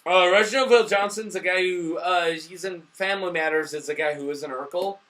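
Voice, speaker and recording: A man's voice: high-pitched, a little fast, fairly bright tone. A drunk man co-hosting a casual, profane movie review, rambling and easily losing the thread. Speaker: movie review show host.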